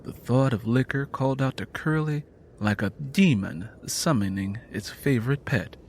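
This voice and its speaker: A man's voice: medium pitch, animated, moderate pace, slight background noise. A man narrating scripted fiction in a dramatic storytelling style.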